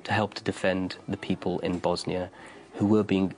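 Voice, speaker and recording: Earnest low-voiced man in his thirties. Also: little pitch movement, moderate pace, a little mellow, rough recording. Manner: earnest, insistent, restarts phrases. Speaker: interviewee. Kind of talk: documentary interview answers.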